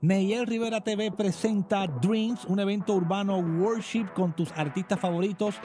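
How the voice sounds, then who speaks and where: Over prominent music, a man announces an event. His voice is high, and he delivers a scripted promotional read. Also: fairly even intonation, normal speed, mellow.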